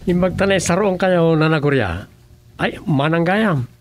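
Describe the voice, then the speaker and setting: A man's voice: fairly high pitch, expressive, unhurried, faint music behind. An actor with an Ilocano Filipino accent playing a chatty, meddling village man in a comedy radio drama. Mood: chiding.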